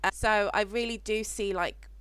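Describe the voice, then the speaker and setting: A woman's voice: medium pitch, steady tone, normal speed, a bit bright, clean tone. A woman from Africa hosting a podcast interview, speaking in a reflective, searching way that trails off mid-thought. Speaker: podcast host.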